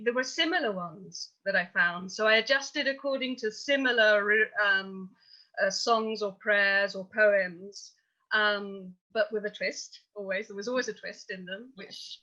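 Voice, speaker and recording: A woman's voice: medium pitch, sharp tone. A woman answering as a translator on a post-show panel, explaining her process. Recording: dull, lo-fi audio.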